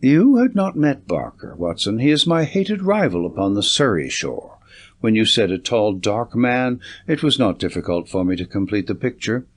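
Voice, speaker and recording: Audiobook detective, played by a man: low, animated, confident, incisive, articulate and commanding. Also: normal speed. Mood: brisk, assured. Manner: assured, articulate, lecturing.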